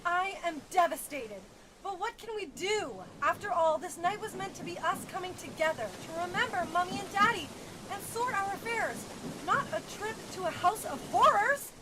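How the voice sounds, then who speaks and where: A woman's voice: extremely high pitch, animated, moderate pace, noisy background. A woman playing a prim, fretful and melodramatic heiress in an amateur murder mystery audio drama. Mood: mournful. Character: prim, dramatic heiress Penelope, falsely innocent.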